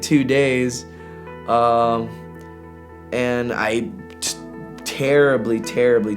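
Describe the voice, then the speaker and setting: A man from Greece, an indie singer-songwriter, talking casually and candidly in an interview in an informal, halting, slangy way. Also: fairly low pitch.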